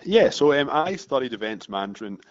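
A Scottish man in his thirties, a company founder talking casually as a guest in an interview, explaining things in a steady flow and often restarting phrases. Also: mid-range voice, brisk, no echo. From Scotland. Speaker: founder of a marketing agency, guest. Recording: dull muffled dry recording.

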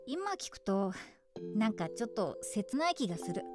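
A woman acting a frank, warm-hearted teenage girl, a loyal best friend, with expressive, open delivery in a Japanese radio drama. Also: mid-range voice, normal speed, natural voice. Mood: curious, wistful. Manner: expressive, plain-spoken, emotionally open. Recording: studio drama with music underneath.

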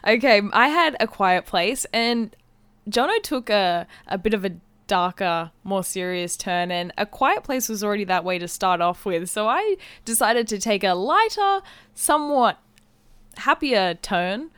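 A woman's voice: medium pitch, expressive, a little slow, a bit bright, clear, resonant tone, no echo. A young woman chatting casually and enthusiastically as a giggly podcast co-host. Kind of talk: casual comedic podcast banter.

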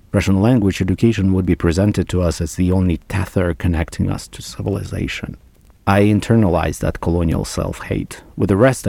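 Gravelly, dark-voiced Ukrainian man. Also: sits low, no echo. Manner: candid, self-critical. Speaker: interviewee sharing memories. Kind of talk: reflective personal storytelling.

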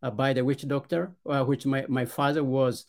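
A middle-aged man with an Ethiopian accent, a podcast guest telling his life story in a hesitant way, with many "uh" pauses and repeated starts. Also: mid-range voice, fairly even intonation, gravelly, a little mellow. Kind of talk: conversational storytelling.

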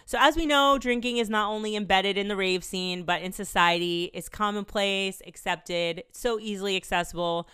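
Brief: young woman podcast host, slightly congested, chatty and upbeat, very clear voice. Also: medium pitch, moderate pace, hardly any pauses, sharp tone. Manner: chatty, upbeat, laughs between phrases. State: congested, recovering from a cold. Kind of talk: casual podcast chat and solo outro. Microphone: studio microphone.

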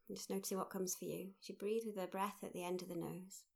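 A woman guides a mindfulness meditation in a mid-pitched voice with plenty of creak. Her delivery is gentle and fairly even, in short phrases with unhurried pauses between them. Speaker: meditation guide, workshop leader.

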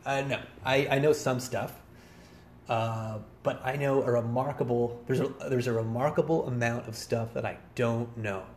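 A man's voice: medium pitch, steady tone, normal speed, a little hiss or hum. A man of about forty hosting a podcast in a rambling, casual solo monologue, with a wry, self-deprecating, digressive manner. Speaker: podcast host.

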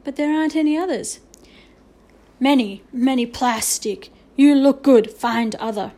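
A woman's voice: sits high, a little slow, mellow. A woman voicing a tiny, proud male toy chief in a storybook, blunt and demanding in clipped, broken phrases. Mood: blunt, dissatisfied.

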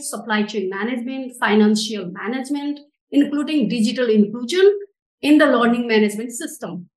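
Echoey, higher-voiced Nepali-accented woman. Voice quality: non-native English phrasing. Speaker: interview guest, social enterprise director. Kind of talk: interview answers, earnest explanation.

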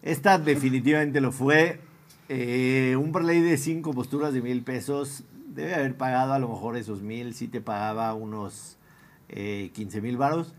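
A man's voice: medium pitch, steady tone, moderate pace. A man with a Mexican accent hosting a sports show in a casual, slangy panel style.

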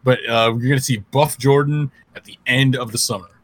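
A man's voice: mid-range voice, steady tone, brisk, no room sound. A man co-hosting a podcast in casual comedic banter, stammering and joking often. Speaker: podcast co-host.

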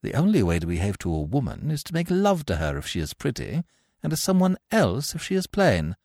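A man voicing a witty, idle young gentleman with a flippant, affected, teasing manner in a storybook reading. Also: mid-range voice, wildly swooping pitch, a little fast, dry recording, studio quality.

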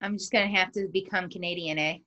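A woman's voice: on the low side, fairly even intonation, quick pace, pushed and bright. A woman with an upstate New York accent, hosting a casual interview with upbeat, chatty questions. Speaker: podcast host.

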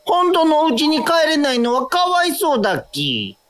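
An actor voicing a small, kindly, childlike monkey in an audio drama, cute and excitable, with a squeaky verbal tic. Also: normal speed, a crowd in the background. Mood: concerned.

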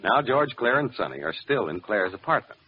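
A male announcer in classic 1940s radio style. His lowish voice moves in lively swells, folksy yet polished, on a dull recording with faint noise. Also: a little fast. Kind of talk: 1940s radio narration and folksy sponsor pitch. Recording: muffled, noisy old radio recording.

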